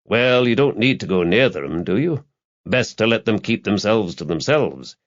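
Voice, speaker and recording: A man's voice: lowish voice, big pitch swings, moderate pace, highs cut off. A man voicing a middle-aged police detective father in an audiobook, guarded but reassuring, with terse fatherly replies. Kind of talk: audiobook character dialogue.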